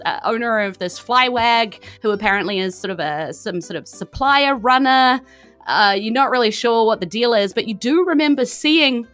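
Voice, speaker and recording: Female game master, bright tone, casual and keen narration, soft music bed. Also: mid-range voice. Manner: enthusiastic, chuckles through talk.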